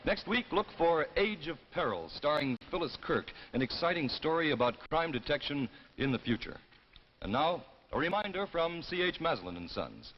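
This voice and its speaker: A man's voice: on the high side, very animated, moderate pace, slight background noise. Formal 1950s male announcer, muffled. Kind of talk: 1950s broadcast announcing, scripted. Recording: muffled 1950s TV soundtrack, music behind.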